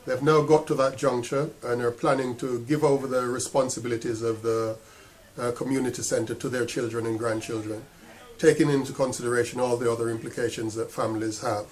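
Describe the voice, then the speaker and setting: A man's voice: medium pitch, fairly even intonation, a little fast, a little mellow. A man speaking as an actor, informally explaining his part in a production. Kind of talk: informal spoken introduction.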